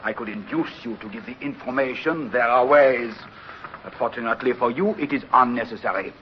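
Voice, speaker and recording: An actor playing a suave, boastful French privateer captain with a French accent, mocking and faintly menacing, in a 1950s radio drama. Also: male voice, mid-range voice, brisk, close to an everyday voice. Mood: smug, mocking. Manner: mocking, smug, florid. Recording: dull, noisy 1950s radio recording.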